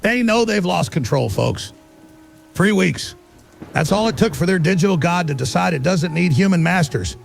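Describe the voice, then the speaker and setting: A man playing an alarmist conspiracy radio host, delivering an urgent, insistent monologue in a gravelly voice. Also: sits high, animated, normal speed, faint music behind, exaggerated voice. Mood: urgent, accusing. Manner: urgent, insistent, addresses listeners as folks.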